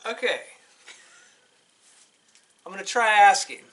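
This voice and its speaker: A man's voice: high voice, lively intonation, takes it slowly, fairly bright tone, full character voice. A man voicing an anxious, exasperated elephant in a children's picture-book story, incredulous and emphatic. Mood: tentative, then relieved. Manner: incredulous, emphatic, dramatic questioning. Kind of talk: animated children's read-aloud.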